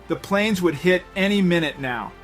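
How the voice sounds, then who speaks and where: Higher-pitched male story narrator over music. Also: lively intonation, normal speed. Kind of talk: dramatic scripted story narration. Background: background music.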